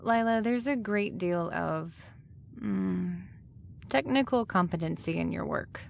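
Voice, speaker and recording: A woman playing a demanding, thoughtful high school art teacher in an audio drama, speaking in a deliberate, instructive way. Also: vocal fry, a little hiss or hum. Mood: earnest, instructive.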